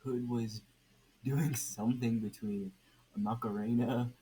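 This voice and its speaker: Male amateur fiction narrator: slow, stumbling delivery, faint noise on a home recording. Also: mid-range voice, steady tone, dark tone, rough recording. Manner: halting, stumbles and repeats words. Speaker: podfic narrator.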